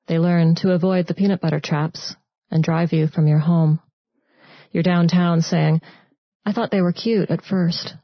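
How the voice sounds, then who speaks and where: A woman from Canada, a poet, reading her own verse in a restrained, deliberate manner. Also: low-pitched, no echo. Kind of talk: poetry reading of own work. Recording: dry, slightly dull close recording.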